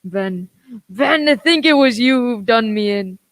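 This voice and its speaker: Woman as a swaggering male pirate chief, unhurried, higher-pitched, wheedling old sailor talk, radio play. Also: lively intonation. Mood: wry.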